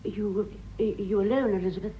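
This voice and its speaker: A woman's voice: medium pitch, fairly even intonation, moderate pace, natural voice. An actress playing a sympathetic, genteel older English society lady in a radio drama, motherly in manner. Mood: concerned. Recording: dull, noisy recording with ambience.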